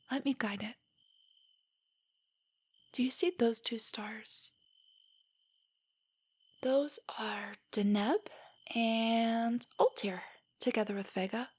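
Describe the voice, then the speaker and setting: A young woman playing a nerdy, nervous, tender girlfriend in an intimate stargazing roleplay audio, speaking softly and often near a whisper as she gently rambles. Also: fairly high pitch, slightly rough. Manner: rambling, gently explaining, hesitant. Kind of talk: intimate roleplay audio, scripted to sound spontaneous.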